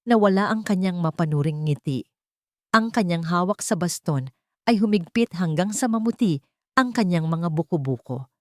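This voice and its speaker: A woman's voice: fairly low pitch, expressive, normal speed, no echo, studio quality. A woman narrating a scripted romance story in the first person, in a dramatic style.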